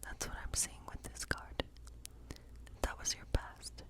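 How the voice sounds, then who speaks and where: A woman playing a gentle witch in an ASMR tarot role play, speaking in a soft, breathy, unhurried whisper. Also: lightly acted. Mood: gentle, playful. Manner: gentle, unhurried, softly musing. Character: friendly cottage witch woman reading tarot by night.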